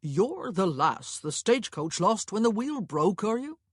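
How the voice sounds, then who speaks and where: A woman's voice: medium pitch, sing-song, measured pace, slightly rough, dry recording, studio quality, pitched above the performer's own voice. A woman voicing a nosy, officious male stagecoach clerk in an audiobook, chatty and rambling. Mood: prying. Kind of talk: acted audiobook dialogue.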